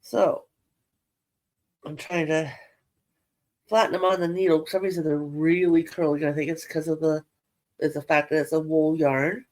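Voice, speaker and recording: A woman's voice: low voice, level tone, moderate pace, long pauses, darkish tone. Nasal woman with a head cold. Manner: chatty, rambling, self-deprecating. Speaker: yarn crafting vlogger. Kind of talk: casual show-and-tell chat.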